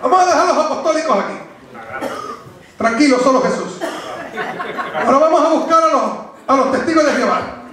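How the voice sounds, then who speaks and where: A man's voice: very high-pitched, expressive, brisk, long pauses, crowd noise. A man preaching a doctrinal sermon to a congregation, emphatic and didactic, repeating phrases for stress and asking rhetorical questions. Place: echoey room, preaching before a congregation. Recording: very echoey, reverberant room.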